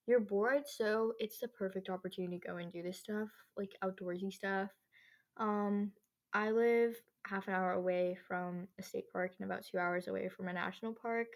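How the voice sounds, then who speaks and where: Casting: teen female podcast host, average pitch, informal and chatty, wandering off on slangy tangents. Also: a little mellow. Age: teenager. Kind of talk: casual solo podcast chat. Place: own bedroom.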